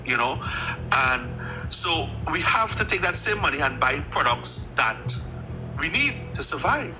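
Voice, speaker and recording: A man's voice: a little high, very animated, measured pace, music in the background, low-quality recording. A man who calls in to a live chat and explains trade step by step, with many restarts. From Trinidad. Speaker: caller joining the live chat.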